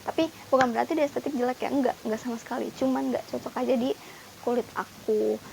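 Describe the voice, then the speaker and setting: Chatty high-voiced woman vlogger, going hoarse. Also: fairly even intonation, quick pace, a little mellow, a little hiss or hum, rough recording. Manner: chatty, addresses viewers as guys, many fillers. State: voice wearing out, getting hoarse. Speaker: beauty vlogger reviewing skincare. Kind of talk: casual product review to camera.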